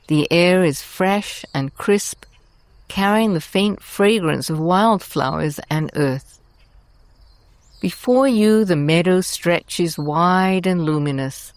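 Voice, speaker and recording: Female meditation guide: low voice, very unhurried, soothing and deliberate, close dry sound. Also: no room sound. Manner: soothing, deliberate, with long pauses between short phrases. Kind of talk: scripted guided meditation narration.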